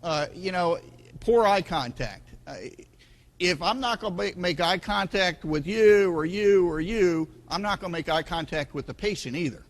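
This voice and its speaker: A man's voice: sits high, normal speed. A man leading a conference workshop on a handheld mic, talking loosely with fillers and repeated words. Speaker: conference speaker. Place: conference room. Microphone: handheld microphone.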